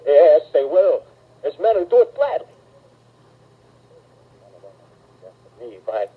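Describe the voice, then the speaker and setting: An actor playing a fanatical, scheming artilleryman in a 1930s radio drama, with rough, emphatic, colloquial speech. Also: a little high, big pitch swings, moderate pace. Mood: scheming, intense. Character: fanatical, scheming male artilleryman survivor of invasion. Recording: muffled, scratchy 1930s radio recording.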